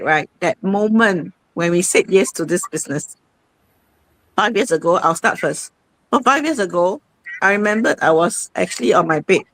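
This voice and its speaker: A woman's voice: fairly low pitch, fairly bright tone, no room sound, poor audio. A woman, hosting a casual live-stream sharing, chatty and repeating herself, often saying "okay". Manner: chatty, repeats itself, says "okay" a lot. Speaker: host.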